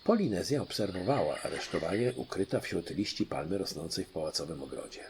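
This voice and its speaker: A man narrating a children's audiobook in an expressive storytelling style. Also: low-pitched, brisk, a little hiss or hum.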